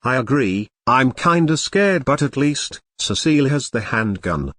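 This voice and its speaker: A man's voice: medium pitch, very animated, a little slow, fairly bright tone, dry recording, studio quality. A man playing a timid, kindly daycare attendant in an amateur audio drama, speaking plainly and earnestly. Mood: uneasy.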